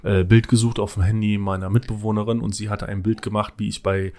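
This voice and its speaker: A man's voice: fairly low pitch, flat delivery, fast, a little mellow. A man co-hosting a casual chat, joking and teasing himself. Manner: joking, self-mocking. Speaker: podcast co-host.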